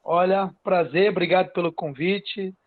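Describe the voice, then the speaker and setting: A man with a Brazilian accent from the São Paulo coast tells his own story casually as a podcast guest, haltingly, repeating phrases and tagging them with "né". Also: high-pitched, fairly even intonation, no echo. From Cubatão, São Paulo state, Brazil. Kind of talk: casual personal storytelling in an interview. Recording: narrow phone-like online call sound.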